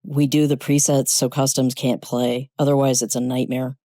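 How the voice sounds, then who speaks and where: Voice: medium pitch, flat delivery, normal speed, warm tone, no echo, close to an everyday voice. A narrator voicing a shy, awkward male technician in a short story. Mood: glum. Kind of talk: scripted short-story dialogue.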